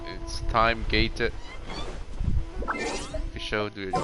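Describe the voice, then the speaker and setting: A man's voice: fairly low pitch, flat delivery, very slow. A man giving casual, terse gameplay commentary as a streamer, muttering short remarks. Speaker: video game streamer. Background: game music.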